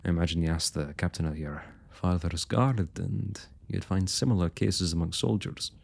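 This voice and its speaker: A man's voice: low voice, normal speed, gravelly, a little mellow, husky, heavily acted. A man playing a young royal blacksmith in an intimate fantasy roleplay, speaking to the listener in courtly, hesitant, old-fashioned phrasing. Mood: frank, teasing. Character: young male royal blacksmith, smitten, forthright, proud working man.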